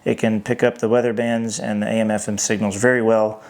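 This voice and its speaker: A man's voice: on the low side, level tone, a little fast, darkish tone, slight background noise. A man giving an unscripted hands-on product review as host, thinking aloud in a hesitant way.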